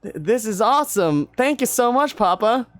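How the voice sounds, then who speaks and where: A man's voice: extremely high pitch, sing-song, normal speed, pushed and bright, no room sound, clean studio sound, voice pushed up, exaggerated voice. A man voicing a timid, small young bard son who stammers and speaks meekly. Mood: shy delight. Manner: stammering, meek.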